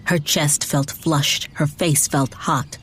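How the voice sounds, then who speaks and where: A woman reading dramatized fiction narration in a measured way with deliberate emphasis. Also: sits low, steady tone, breathy edge. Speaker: audiobook narrator.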